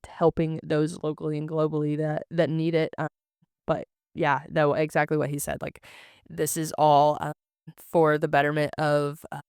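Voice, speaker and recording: Smooth, slightly high-voiced man hosting a podcast. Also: completely flat delivery, normal speed, a little mellow, no room sound, studio quality. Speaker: podcast host. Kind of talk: casual podcast chat.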